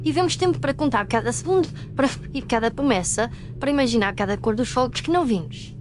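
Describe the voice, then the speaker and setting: A woman's voice: a little high, animated, quick pace, slight background noise, voice pushed up, natural voice. A woman voicing a chorus of ghostly waiting children, pleading and plaintive.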